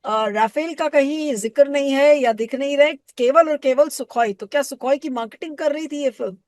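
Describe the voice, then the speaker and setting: A woman's voice: sits high, normal speed, a little mellow, no echo, clean studio sound. A woman with an Indian accent hosting a conversational interview. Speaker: interview host.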